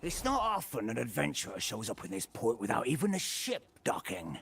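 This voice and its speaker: An actor playing a roguish, chummy port guide in a fantasy game, with a raspy, breezy, colloquial delivery. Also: male voice, medium pitch, wildly swooping pitch, a little fast, fairly bright tone, full character voice.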